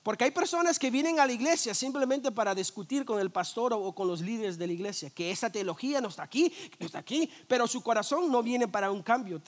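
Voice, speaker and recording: A man, a pastor, preaching a sermon in a lively, conversational way, full of rhetorical questions, jokes and quick restarts. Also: extremely high pitch. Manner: frequent restarts and repeated words.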